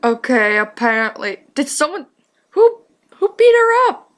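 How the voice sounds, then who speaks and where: Female reactor, slightly high pitch, informal, thinking out loud as she follows a story. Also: sing-song, unhurried. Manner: musing, questioning aloud. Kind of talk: casual reaction commentary.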